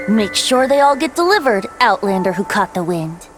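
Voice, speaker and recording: A woman's voice: fairly high pitch, sing-song, unhurried. A woman playing a whimsical, playful fantasy game character with theatrical delivery. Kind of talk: video game voice acting. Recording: clear music behind.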